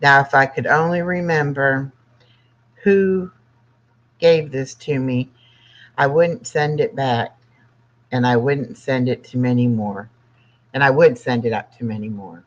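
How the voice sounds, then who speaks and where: A sixty-year-old livestream host chatting casually and reading aloud in a plainspoken, halting way, with pauses between phrases. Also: medium pitch, steady tone. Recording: dull lo-fi livestream audio.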